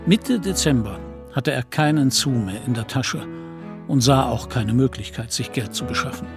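Mid-range male narrator, literary storytelling, measured, scripted radio drama, music underneath. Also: mid-range voice, gravelly.

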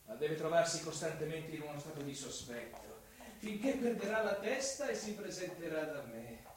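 An actor playing a cunning, mocking investigator in a theatrical Italian radio drama, insinuating and menacing, swinging from hushed to loud. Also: male voice, a little high, sing-song, normal speed. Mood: menacing, gloating. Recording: very echoey, distant microphone, faint noise.

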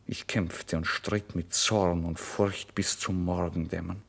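A man narrating a literary first-person story in a brooding, expressive way, moving between hushed and emphatic delivery. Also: low-pitched, slow, vocal fry, slightly rough. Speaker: audiobook narrator.

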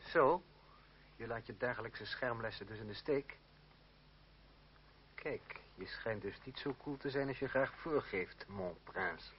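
An actor playing a proud, jealous stage player in a radio drama, with a taunting, mannered delivery. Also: male voice, medium pitch, measured pace, pauses often, close to an everyday voice. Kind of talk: theatrical radio drama acting. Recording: dull, faintly noisy old radio recording.

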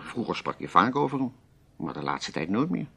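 An actor playing a concerned, persistent old theatre friend in a radio drama, familiar and gently probing. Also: male voice, medium pitch, sing-song, brisk, creaky, slightly rough, exaggerated voice. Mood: insistent, worried.

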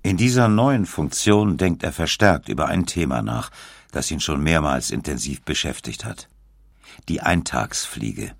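A man narrates a scripted documentary in a very low voice with plenty of gravel. His delivery is measured and uses pauses for weight. The sound is close and dry. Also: animated, no echo.

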